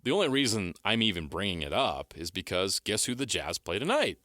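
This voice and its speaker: Deep, bright-voiced male radio host. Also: very animated, dry recording, studio quality. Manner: brisk, leads the segments and reads out news and injury lists. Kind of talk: sports radio talk. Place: radio studio. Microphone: studio microphone.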